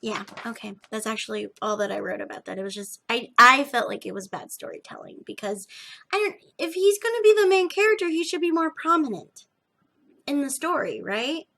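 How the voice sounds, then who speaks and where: A young woman with a slightly gravelly edge, chatting casually and emphatically as a podcast host, often restarting her sentences. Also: a little high, a little fast. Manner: animated, emphatic, self-interrupting. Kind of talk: casual rambling chat to viewers.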